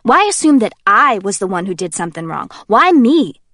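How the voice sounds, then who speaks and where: A woman voicing a brash, joking young man who is easily indignant, talking loud and high-strung, full of exclamations. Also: very animated, moderate pace, no echo, clean studio sound.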